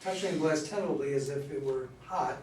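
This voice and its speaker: A man's voice: a little high, fast, darkish tone. A man narrating scripted stage directions at a play reading. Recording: roomy, distant mic, faint noise.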